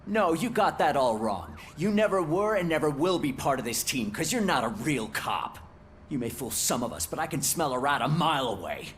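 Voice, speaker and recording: An actor playing a bullying, hot-headed police officer in a video game, accusing and taunting. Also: male voice, fairly high pitch, very animated, moderate pace, fairly bright tone, a little hiss or hum. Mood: angry, taunting.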